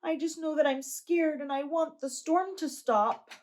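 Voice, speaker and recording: A small, frightened girl in a storybook who speaks in a soft, hushed near-whisper, pitched high and timid, as a woman reading aloud puts her on. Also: steady tone, a little slow, a little hiss or hum. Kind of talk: children's storybook read-aloud.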